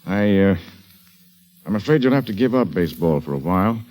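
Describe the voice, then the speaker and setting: An actor playing a grave, courteous doctor in a 1940s radio drama, with a hesitant, formal manner. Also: male voice, low-pitched, lively intonation, fairly quick, darkish tone, poor audio. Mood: grave, reluctant. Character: grave, reluctant, courteous doctor breaking bad news. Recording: vintage radio broadcast.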